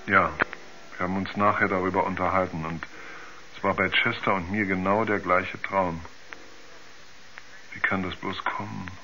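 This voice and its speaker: A man acting a troubled astronaut in a 1970s radio drama, halting and repeating himself as he recalls terrifying dreams. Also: deep voice, level tone, bright, forceful tone, a little hiss or hum. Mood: hushed dread. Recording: dull 1970s radio play recording.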